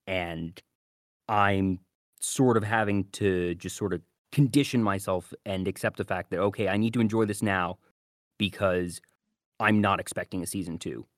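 A man with a fairly low voice hosts a casual anime podcast. He gives conversational commentary, and the sound is dry and close, with little room in it. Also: steady tone, moderate pace, no echo. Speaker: podcast host.

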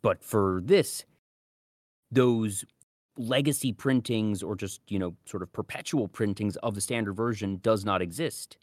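A man's voice: on the low side, unhurried, no room sound, clean studio sound. A man co-hosting a casual podcast discussion, talking in an opinionated, argumentative way. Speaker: co-host.

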